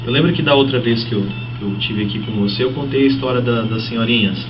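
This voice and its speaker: A Brazilian man talks as an interview guest, explaining things conversationally with plenty of examples. His mid-pitched voice sits off the mic in a dull recording. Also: quick pace, loud music behind the voice. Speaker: fitness trainer, guest. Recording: muffled, noisy, distant-sounding broadcast audio.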